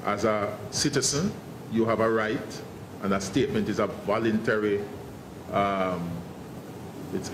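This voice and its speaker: A man speaking as a senior police investigator at a press briefing, guarded and formal, often halting and repeating words. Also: fairly low pitch, roomy sound. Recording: noisy online press briefing audio.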